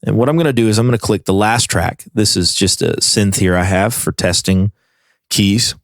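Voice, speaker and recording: Man with a lowish voice, casual tutorial. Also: normal speed, dry recording, clean studio sound. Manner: informal, explaining step by step. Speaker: tutorial presenter. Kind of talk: casual software tutorial narration.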